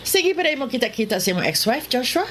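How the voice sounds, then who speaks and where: Shrill, very high-pitched jealous wife. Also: female voice, sing-song, fast talker, over music. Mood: accusing, angry. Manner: accusing, confrontational. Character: jealous, hot-tempered wife confronting her husband. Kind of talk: melodramatic radio drama acting. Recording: noisy radio drama recording.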